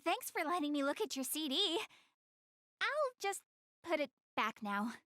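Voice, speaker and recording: A high, very animated young goddess girl from an anime video game, recorded dry and close. She is proud and slightly haughty, yet shy and earnest, easily flustered and hesitant when she opens up. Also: unhurried, dry recording, heavily acted. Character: shy, prideful young goddess girl, tsundere but caring. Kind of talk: English-dubbed anime video game acting.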